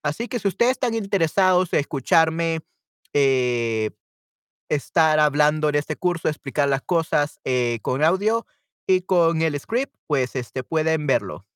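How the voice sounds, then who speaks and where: A man's voice: a little high, lively intonation, a little slow, clean tone, no echo, clean studio sound. A young Salvadoran man with a Salvadoran Spanish accent, teaching Spanish in a friendly, chatty live stream. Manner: chatty and friendly, mixes in English asides to himself. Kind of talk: casual live-stream lesson.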